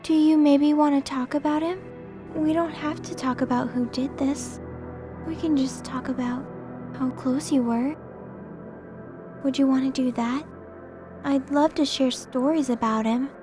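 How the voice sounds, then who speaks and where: A woman plays a kind young female archangel in an audio drama. Her voice is high and she speaks with patient, soothing care, as if coaxing a stranger, over music. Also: steady tone, a little slow, long pauses. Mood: tender, reassuring. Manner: gentle, patient, reassuring.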